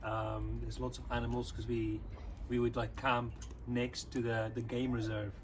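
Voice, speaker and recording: A man whose first language is Afrikaans, from South Africa, chatting casually to a youth club and teaching words slowly. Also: mid-range voice, completely flat delivery, background noise. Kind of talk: informal online talk to children.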